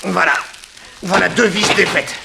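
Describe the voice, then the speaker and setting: Male lead: brave detective, high-pitched urgent commands, old radio serial, very noisy tape. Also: unhurried, gravelly, heavily acted. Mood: urgent, determined. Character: daring detective hero, urgent, brave, gentle with victims. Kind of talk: 1960s radio serial acting.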